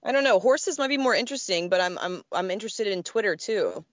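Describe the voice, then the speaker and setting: A woman's voice: medium pitch, lively intonation, fast, no room sound. Playful woman in her late twenties. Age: 20s. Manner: playful, joking. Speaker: podcast guest, actor and improviser. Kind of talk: casual comedic chat. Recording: dull lo-fi podcast audio.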